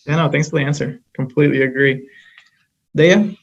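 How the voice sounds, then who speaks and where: A man's voice: a little high, moderate pace, vocal fry. Casual man moderating, dull sound. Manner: casual, affirming. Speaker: panel moderator. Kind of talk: moderating a panel.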